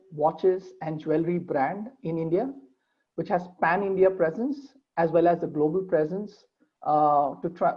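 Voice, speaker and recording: A man's voice: sits high, highs cut off. A man giving a webinar presentation, working through points with many fillers. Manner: hesitant, steady listing with many fillers.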